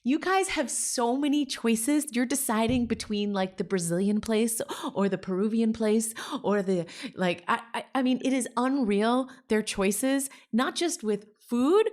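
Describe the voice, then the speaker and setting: A woman's voice: a little high, unhurried, hardly any pauses, darkish tone. A woman in her forties from New Jersey, a story salon founder, talking enthusiastically and animatedly as an interview guest. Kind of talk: casual conversation.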